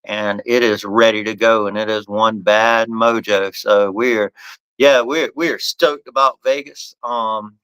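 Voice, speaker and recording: A man's voice: lowish voice, steady tone, slow, a bit bright, clear, resonant tone, dry recording, poor audio. A man hosting a casual live podcast, talking loosely about his company's products and often restarting his phrases. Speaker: podcast host and bat company owner.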